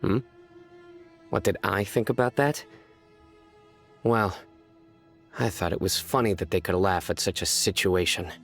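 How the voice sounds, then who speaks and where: Game role: stern young male lawkeeper, low register, grave, reserved, deadpan delivery. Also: breathy edge, heavily acted. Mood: calm, earnest. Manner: measured, reserved, formal, deadpan. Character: stern, solemn young male judge-like enforcer of scholarly law. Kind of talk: scripted video game character voice lines. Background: background music.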